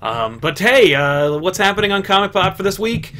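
A man's voice: high-pitched, very animated, moderate pace, bright, forceful tone, slight background noise. Chatty man hosting a comics show. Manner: loose and chatty, many fillers. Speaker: show host. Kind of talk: casual chat.

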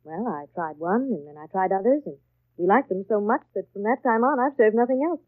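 An actress playing a chatty, confident housewife in a 1930s radio commercial. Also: female voice, medium pitch, animated, a little fast, dry recording, lightly acted. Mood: breezy, persuasive. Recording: dry, tinny 1930s radio broadcast.

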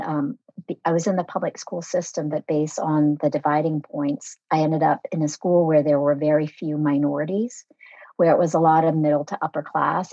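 Low-voiced woman, reflective and unhurried. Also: a little mellow, no echo, dull audio. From Vietnam. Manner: thoughtful, reflective, with frequent fillers. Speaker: podcast guest. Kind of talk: conversational interview.

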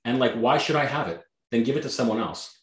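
A man from Canada whose first language is Greek, talking as a guest in an energetic, emphatic and blunt way, swearing freely. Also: mid-range voice, fast, reverberant. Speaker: guest, real estate agent. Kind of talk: motivational interview talk.

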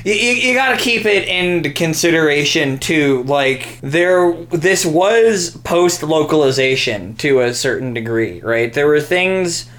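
A man chats as a comedy podcast co-host. His voice sits slightly high and he talks at a very leisurely pace, with a sardonic, sweary, informal manner. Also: animated, unhurried, hardly any pauses, a bit bright. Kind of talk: casual comedic chat.

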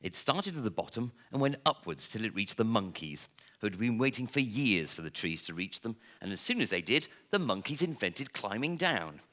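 Animated man reading a storybook aloud. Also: lowish voice. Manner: theatrical, playful, with dramatic pauses. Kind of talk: expressive live storybook reading.

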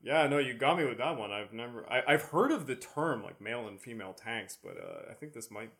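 Man, mid-pitched voice, casual history discussion, podcast panelist. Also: lively intonation, a little fast, fairly bright tone.

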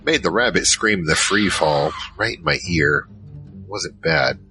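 An actor playing a huge, shaken survivor recounting a monster battle in a halting first-person audio drama narration. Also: male voice, animated, sharp tone, full character voice. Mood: tense, shaken. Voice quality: very low, heavy voice. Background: music.

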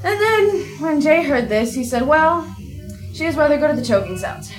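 A woman's voice: high voice, lively intonation, normal speed, soft background music, low-quality recording. A woman telling anecdotes casually, in an amused, informal manner.

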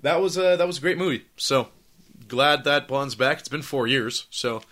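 A man hosting a casual podcast, hoarse while getting over laryngitis, talking in a loose, profane, rambling way with lots of fillers. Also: medium pitch, moderate pace, a bit bright. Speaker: podcast host.

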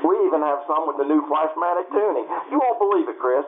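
A man's voice: on the high side, animated, fast, lightly acted. An actor playing a townsman in an old-time radio drama. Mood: eager, salesman-like. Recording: tinny band-limited radio-play recording, faint noise.

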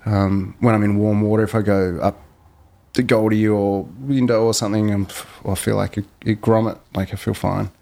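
A man chatting as a podcast guest, relaxed and reflective, with lots of fillers. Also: lowish voice, normal speed, gravelly. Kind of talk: casual podcast chat.

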